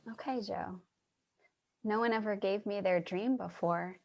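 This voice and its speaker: A woman acting as a dreamy, wistful drifter in a stage play reading. She speaks slowly at a medium pitch, earnest and effusive, lingering on each phrase. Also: darkish tone, lightly acted. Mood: tender concern. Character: wistful, dreamy young homeless woman named Charlie. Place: remote reading over video call.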